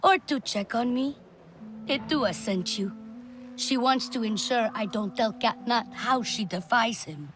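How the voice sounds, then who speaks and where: A woman's voice: fairly high pitch, measured pace, noisy background, lightly acted. An actress playing a wary, proud female alien forest warrior in a video game, with a terse, guarded delivery. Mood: guarded, suspicious. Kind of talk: video game character acting.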